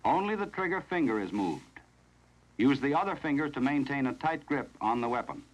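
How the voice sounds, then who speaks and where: An American man reading scripted narration in the formal, measured, instructional manner of a 1970s training film, on a vintage film soundtrack. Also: mid-range voice, expressive, low-quality recording.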